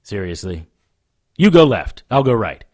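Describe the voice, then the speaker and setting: A wisecracking supernatural private eye for an audio drama. His pitch swings freely through dry, clipped remarks. He sounds world-weary and sardonic throughout. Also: big pitch swings, unhurried, darkish tone.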